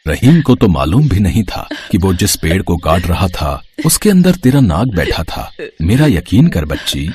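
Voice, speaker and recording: A man playing a wise old fakir in an audio folk tale, giving slow, fatherly, solemn counsel. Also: low voice, very animated, darkish tone. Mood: solemn, gentle. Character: wise old male fakir, calm mystic holy man.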